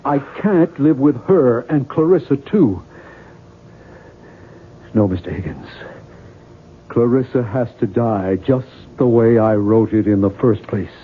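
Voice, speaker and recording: Tormented novelist on tinny old radio. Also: male voice, medium pitch, animated, slow pace, long pauses. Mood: anguished, desperate. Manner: earnest, anguished, emphatic. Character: tormented male novelist, married, pleading and weary. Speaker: actor. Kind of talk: radio mystery drama acting. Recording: tinny, noisy 1970s radio broadcast.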